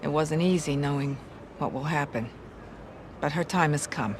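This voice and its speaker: An actress playing a shrewd, hard-nosed American brothel madam in a video game cutscene, blunt and matter-of-fact. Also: female voice, low voice, moderate pace, background noise, close to an everyday voice.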